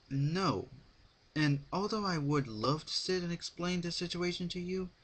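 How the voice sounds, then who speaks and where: Male reader as female pony ruler, lifted voice, measured pace, smug, stern, formal lecturing, storybook. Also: a little high, voice pushed up.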